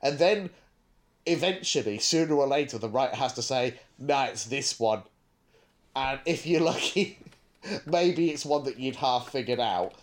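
A man's voice: mid-range voice, expressive, a little slow. A man co-hosting a podcast, chatting casually and discursively, thinking aloud with hesitant asides. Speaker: podcast co-host.

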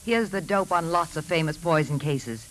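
A woman's voice: low voice, moderate pace, fairly bright tone, low-quality recording. An actress playing an earnest, insistent woman suspecting a poisoning in a 1950s radio drama. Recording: hissy 1950s radio recording.

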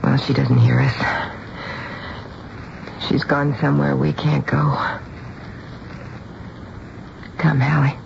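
An actress playing a gentle, protective middle-aged mother in a radio drama, anguished yet restrained. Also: very deep voice, flat delivery, measured pace, creaky, very noisy, exaggerated voice. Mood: sad, consoling. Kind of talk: 1970s radio drama narration and dialogue. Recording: dull 1970s radio recording with music.

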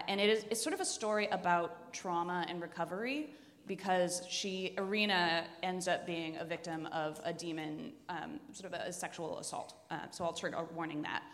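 A woman with a lowish voice speaks as a podcast host recommending books. Her delivery is informal and blunt, with clear enthusiasm for what she describes. Long reverb places her in a hall. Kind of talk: casual chat before a live group.

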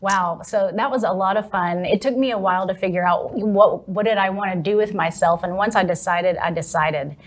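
A woman from Texas, a confidence coach, speaking as an upbeat, animated interview guest. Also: medium pitch, normal speed, hardly any pauses. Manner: upbeat, animated, laughs easily. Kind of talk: interview conversation.

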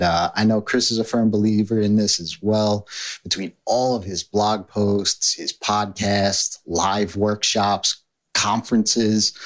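A man with a New York accent hosting a podcast, chatty, with plenty of "you know" fillers. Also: fairly low pitch. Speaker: podcast host.

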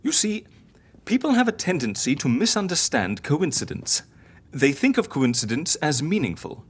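A confident, theatrical death faker in an audio drama. Her voice is low and husky, swinging widely as she explains like an eager teacher. She is philosophical, encouraging and sure of herself. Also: big pitch swings, moderate pace, heavily acted. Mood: didactic, philosophical. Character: theatrical, confident woman who fakes deaths for clients.

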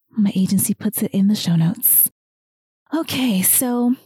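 A woman hosting her own weight loss podcast while losing her voice, talking softer than usual in an encouraging tone. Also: medium pitch, no echo, clean studio sound. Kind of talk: solo podcast talk.

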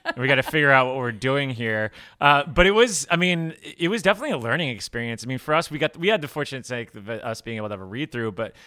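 A man's voice: mid-range voice, animated, fast talker, sharp tone, dry recording. A man co-hosting a podcast, chatting in an informal, rambling way with plenty of "like". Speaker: podcast co-host. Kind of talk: casual chat.

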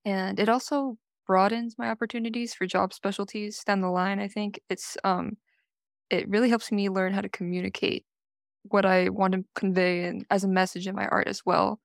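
A young Californian woman speaks thoughtfully about her art studies. Her pitch hardly moves. The sound is dry and close. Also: flat delivery, moderate pace, creaky, no room sound. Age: young adult. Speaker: design student guest. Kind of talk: casual podcast chat.

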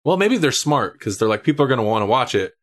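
A man's voice: medium pitch, big pitch swings, fast talker. A man hosting a casual film discussion on a podcast. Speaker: podcast host.

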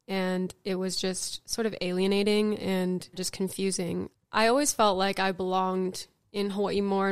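Smooth-voiced young woman musing casually. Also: mid-range voice. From California, Bay Area. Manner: reflective, many "like" fillers. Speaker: podcast guest. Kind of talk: casual podcast conversation.

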